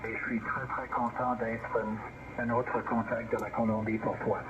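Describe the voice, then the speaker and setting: A man's voice: mid-range voice, little pitch movement, moderate pace. A man making an amateur radio contact over shortwave, repeating key words and figures for clarity. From born in England. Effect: shortwave radio transmission. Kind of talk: amateur radio contact, exchanging signal reports. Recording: muffled band-limited shortwave radio, loud static. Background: loud radio noise.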